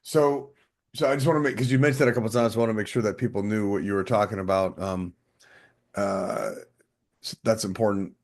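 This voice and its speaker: A man hosting a podcast, asking relaxed questions and giving quick backchannel replies. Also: fairly low pitch. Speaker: podcast host. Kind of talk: casual interview questions.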